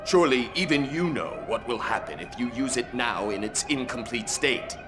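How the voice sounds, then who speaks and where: An actor plays a stern old man in a video game, solemn and grave. His mid-pitched voice comes with clear noise behind it. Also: lively intonation, moderate pace, close to an everyday voice.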